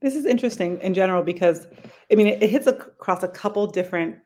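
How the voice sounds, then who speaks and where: Woman, podcast host, friendly, quick to laugh, lots of fillers, mid-pitched. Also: lively intonation, dark tone. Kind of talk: interview hosting.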